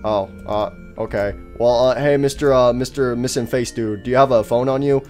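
A man voicing a calm, oblivious animatronic fox who stammers and rambles in an amateur comedy skit. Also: medium pitch, moderate pace, faint music behind, natural voice. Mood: hesitant, puzzled.

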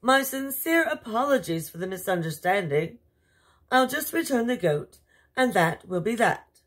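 A woman's voice: medium pitch, big pitch swings, normal speed, fairly bright tone, slightly rough, voice pushed up. A woman voicing a helpful, bustling storybook fairy godmother in a theatrical, exclamatory manner. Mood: apologetic.

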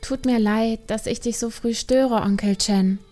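A woman voicing a grieving, restless young female panda, softly and hesitantly, in a dramatised audiobook. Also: on the high side, steady tone, unhurried, soft background music, close to an everyday voice. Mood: subdued. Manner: soft, hesitant, hushed and heartfelt.

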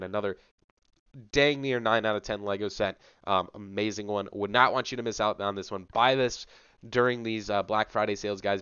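A man's voice: on the low side, fairly bright tone, clear, resonant tone, dry recording, highs cut off. A young man hosting a casual rundown to camera, chatty and enthusiastic, a little sick, talking into a headset mic in a small home room. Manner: chatty, filler-heavy, enthusiastic. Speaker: channel host.

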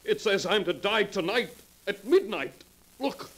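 A man's voice: high-pitched, expressive, measured pace, fairly bright tone, exaggerated voice. An actor playing a frightened, desperate man who pleads in agitated 1940s radio melodrama style. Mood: nervous pleading. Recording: husky, vintage 1940s radio recording.

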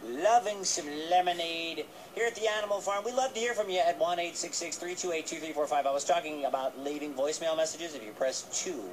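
A man presenting a children's radio show in a casual, chatty style. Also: fairly high pitch. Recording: noisy recapture of radio playing in room.

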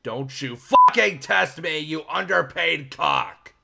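A man's voice: a little high, expressive, slow pace, vocal fry, bright, forceful tone, exaggerated voice. A man playing a crude, domineering narrator who swears at his staff in a cartoon parody skit. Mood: bossy. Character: crude, bossy male narrator bullying his editor. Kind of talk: comedic skit host.

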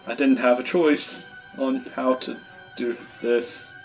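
A man's voice: mid-range voice, steady tone, slow pace, muffled recording, faint music behind. A man giving casual gameplay commentary, muttering to himself as he plays. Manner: muttering to self. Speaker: gamer doing a playthrough.

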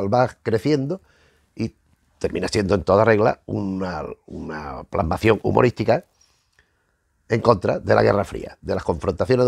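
Middle aged guy w spain accent, gravelly voice, rambling chatty explainer, dry close sound. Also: lowish voice, darkish tone, no echo. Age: middle-aged, 50s. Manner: conversational, rambling, circles back and rephrases. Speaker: host of a retro comics channel. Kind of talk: unscripted informal explainer to camera. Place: small indoor room lined with bookshelves.

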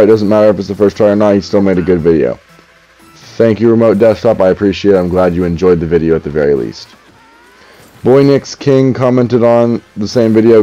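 A young man with a deep, dark-toned voice talks casually through a headset while gaming in his room. He is dry and mildly sarcastic, with frequent stammering restarts, and the audio sounds slightly dull and lo-fi. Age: young adult. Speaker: gaming YouTuber reading comments. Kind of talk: casual commentary while playing.

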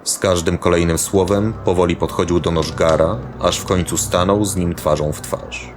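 A man narrating a scripted dark fantasy audio drama as the storyteller. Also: low-pitched, fairly even intonation, normal speed. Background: music.

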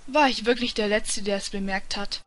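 A woman's voice: mid-range voice, normal speed, natural voice. A woman voicing a touchy, easily embarrassed teenage boy in an audio drama, giving short, defensive retorts. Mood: sheepish. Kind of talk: fan audio drama dialogue in German. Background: music.

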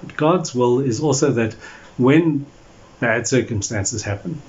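Male pastor, 40s, mid-pitched voice, measured preaching with emphatic phrases, slightly muffled audio. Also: darkish tone. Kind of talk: sermon to camera. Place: small indoor room at home or office. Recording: dull lo-fi audio with background noise.

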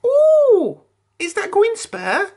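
A storybook reader voicing an excitable, childlike little pig with a squeaky, exclaiming delivery. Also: extremely slow, full character voice. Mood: eager, greedy. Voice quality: squeaky, very high.

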